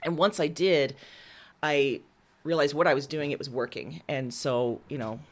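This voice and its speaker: A woman's voice: sits low, normal speed. A woman giving casual, candid interview answers as a writer, talking informally. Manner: candid, informal, occasional swearing. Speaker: interviewed writer and audio drama creator.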